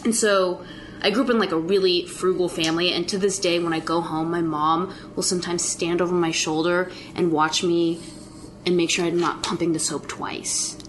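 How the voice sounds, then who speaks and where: A young woman, a TV actress, chatting casually as a guest, with quick laughing asides. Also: fairly low pitch, fairly even intonation, a little hiss or hum. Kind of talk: casual chat.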